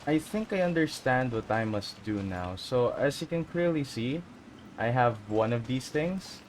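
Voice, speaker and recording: A woman's voice: very deep voice, lively intonation, unhurried, a little mellow. A woman streamer giving casual commentary as she plays a video game. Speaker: gaming streamer. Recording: noisy, with background ambience.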